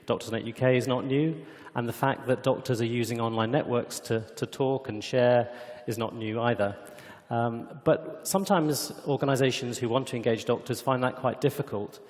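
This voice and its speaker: A man presents a live demo at a conference. His voice sits in a mid pitch and he explains things step by step in a measured way. The big room adds a long reverb around him. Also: darkish tone. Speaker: company executive presenting a product demo. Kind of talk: conference product demonstration. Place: conference hall stage. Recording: echoey hall with long reverb.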